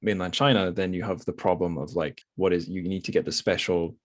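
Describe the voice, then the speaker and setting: A man's voice: deep voice, steady tone, fairly quick. A Scottish man hosting a podcast, talking casually in a rambling way with many restarts and fillers. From Scotland. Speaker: podcast host. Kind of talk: casual conversation.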